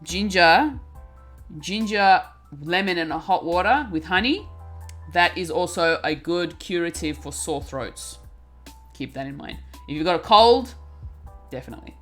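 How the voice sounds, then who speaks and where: A woman's voice: on the low side, expressive, measured pace, fairly bright tone. A young woman streaming while she crafts, chatting casually and giggling, often restarting her words as she talks to her chat. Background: faint lo-fi music.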